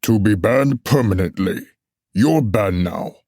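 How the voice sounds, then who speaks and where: An officious, unbothered collector of banned books, played by a man in a puppet musical. He speaks slowly and dismissively in a lowish voice, recorded close and dry. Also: animated, darkish tone, dry recording, heavily acted. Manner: deliberate, dismissive, matter-of-fact. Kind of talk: musical puppet show stage acting.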